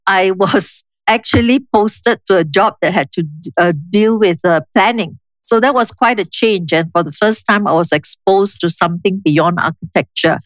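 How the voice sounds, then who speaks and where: An older woman with a Singaporean accent, speaking in a measured, reflective, explanatory way as an interview guest. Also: mid-range voice, no room sound, rough recording. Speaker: interview guest, urban planning official. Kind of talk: conversational interview, reminiscing.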